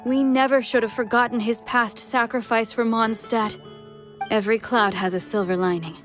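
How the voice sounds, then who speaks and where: A woman's voice: mid-range voice, natural voice. A woman playing an earnest, dignified townswoman in a fantasy game, composed and formal in her speech.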